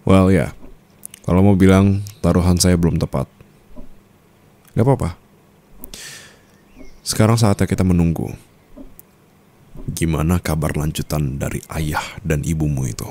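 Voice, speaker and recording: A man's voice: warm tone, a little hiss or hum. A man playing a calculating, coolly dominant mafia boss husband in an intimate ASMR roleplay, speaking in a teasing, smug manner. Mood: smug, assured. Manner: slow, teasing, smug, with low chuckles and hums.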